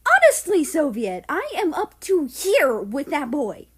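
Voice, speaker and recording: A woman's voice: high-pitched, wildly swooping pitch, unhurried, pitched above the performer's own voice, full character voice. Woman voicing a stern, indignant scolding stepfather. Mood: exasperated scolding. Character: stern, fussy, short-tempered stepfather scolding.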